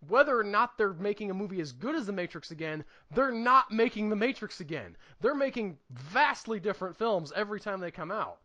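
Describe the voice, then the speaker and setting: High-pitched, bright-voiced man. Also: expressive, a little fast, few pauses. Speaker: podcast co-host. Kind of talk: casual movie review chat.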